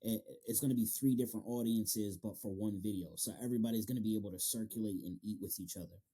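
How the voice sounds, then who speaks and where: A man's voice: fairly low pitch, steady tone, fast, mellow. A man hosting a casual interview, talking in loose slang and swearing freely. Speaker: podcast interviewer and manga reviewer.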